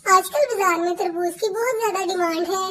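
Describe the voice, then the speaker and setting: A woman's voice: very high voice, a little fast, fairly bright tone. A woman voicing a sweet, earnest young female bird in a Hindi cartoon, polite and plaintive.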